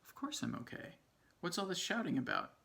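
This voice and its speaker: A man voicing a calm, unflappable ant in a storybook, speaking in a nonchalant, matter-of-fact way. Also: high voice, fairly quick, creaky, slightly rough, pitched above the performer's own voice, exaggerated voice. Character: calm, unconcerned male ant waking from a nap, proudly unflappable.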